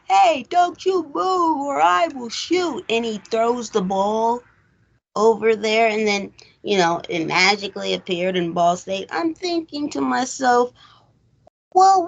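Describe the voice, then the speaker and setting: Higher-pitched, creaky-voiced woman chatting casually. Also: muffled recording. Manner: animated, rhetorical questions, acts out quotes. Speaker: host of a film recap show. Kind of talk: casual chat movie review.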